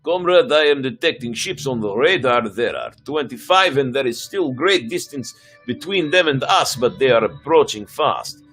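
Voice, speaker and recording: A man's voice: mid-range voice, animated, moderate pace, a bit bright, soft background music, natural voice. A man performing bold, stubborn starship crew in a sci-fi dramatic reading, emphatic and melodramatic. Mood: excited, brash.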